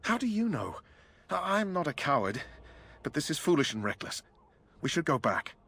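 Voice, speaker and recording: A man's voice: on the high side, sing-song, normal speed, creaky, a bit bright, husky, heavily acted. A man playing an anxious knight who doubts and protests in an agitated way. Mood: fearful, agitated. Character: anxious, doubting knight afraid of monster hordes.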